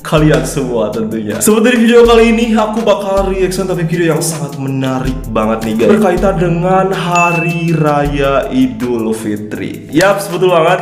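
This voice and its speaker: A man's voice: on the high side, a little mellow, roomy sound. A young man with a stuffy, nasal voice from a cold, hosting a casual reaction video in a chatty, upbeat way. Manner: chatty, upbeat, says "guys" often.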